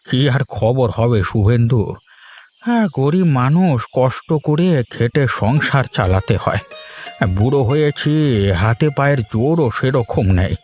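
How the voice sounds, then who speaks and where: A man plays a poor old grandfather from a village cartoon. He speaks slowly, in a weary and grumbling way, with faint music behind him. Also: medium pitch, animated, a little mellow, lightly acted. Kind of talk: Bengali moral-story cartoon dubbing.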